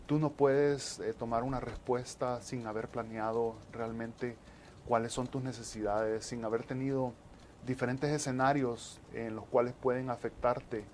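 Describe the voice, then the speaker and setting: A man, explaining as an interview guest in measured, halting phrases. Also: medium pitch, steady tone, darkish tone, a little hiss or hum. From El Salvador. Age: 40s. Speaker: interview guest, disaster management specialist.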